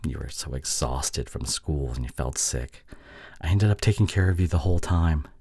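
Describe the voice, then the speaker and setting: A young man playing a sheepish, affectionate boyfriend in an intimate audio roleplay, soft and halting, with breathy drops toward a whisper. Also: rumbling low, no echo, studio quality, exaggerated voice. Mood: fond, nostalgic. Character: sheepish, affectionate young boyfriend apologizing to his long-distance partner.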